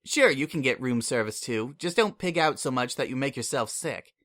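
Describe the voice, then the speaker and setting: A man's voice: medium pitch, expressive, normal speed, a bit bright, natural voice. A man voicing a wry, casual young mare who is on the run. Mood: warm.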